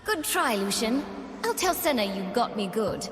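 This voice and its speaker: A woman playing a young doll-like champion in a video game, gentle but resolute, speaking with earnest precision. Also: a little high, sing-song, normal speed. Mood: firm, challenging. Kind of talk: video game character voice lines. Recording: long reverb, music underneath.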